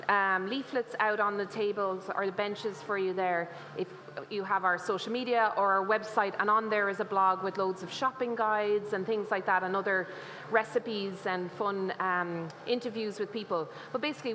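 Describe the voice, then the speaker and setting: A woman's voice: medium pitch, sharp tone. An Irish woman presenting a cooking demonstration to a live audience, chatty, with many fillers and laughs. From Ireland. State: slight cough. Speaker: cookbook co-author presenting a cooking demo. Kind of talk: live demo talk to an audience. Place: festival stage in a large hall. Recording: reverberant hall, faint noise.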